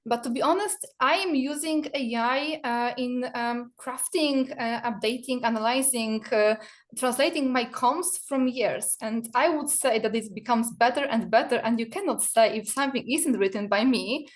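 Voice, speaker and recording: A woman's voice: a little high, moderate pace. A woman from Poland answering on an online panel, speaking with many fillers and restarts. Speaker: PR association board member. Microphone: video call.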